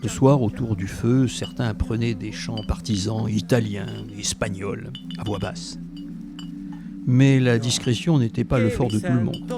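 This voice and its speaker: A man's voice: fairly low pitch, fairly even intonation, measured pace. A man playing a former Resistance fighter, narrating his memories reflectively in a scripted audio drama. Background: music.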